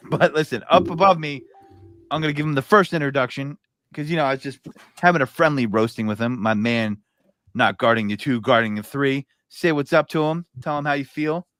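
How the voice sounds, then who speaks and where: A man's voice: mid-range voice, fairly quick, a bit bright, dry recording. A man hosting a casual live sports podcast, joking and loose, with plenty of fillers. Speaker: podcast host.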